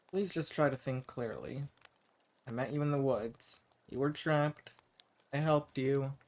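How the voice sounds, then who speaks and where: A male performer plays an ancient vampire living as a hermit, in a roleplay audio drama. His voice sits at a middle pitch for a man. He speaks straight to the listener with sweet endearments and musing hesitations, eccentric and theatrical, with a quiet menace underneath. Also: close to an everyday voice. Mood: coaxing, solicitous.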